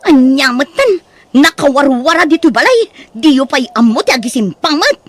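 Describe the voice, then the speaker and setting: A high-pitched, haughty young wife, acted by a woman for radio drama. She scolds and orders her in-laws around with scorn, in a Filipino accent. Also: lively intonation, normal speed. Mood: scornful, irritated. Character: bossy, scornful gambling daughter-in-law ruling her household.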